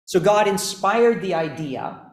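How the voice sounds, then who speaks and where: A man's voice: high voice, expressive, measured pace. A man teaching a classroom lecture. He explains each point methodically, step by step, with examples. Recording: echoey classroom.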